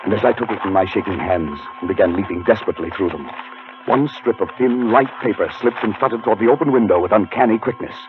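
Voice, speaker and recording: An actor as a deep-voiced haunted gentleman, old radio. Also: natural voice. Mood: agitated, urgent. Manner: dramatic, deliberate, formal storytelling. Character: haunted educated man recounting his own terror. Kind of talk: 1940s radio drama acting and narration. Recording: muffled 1940s radio recording, music behind.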